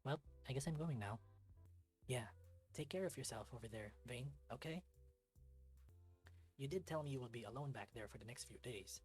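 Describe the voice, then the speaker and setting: A host as a caring, husky teenage friend. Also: mid-range voice, moderate pace, pauses often, darkish tone, soft background music, natural voice. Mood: gentle, caring.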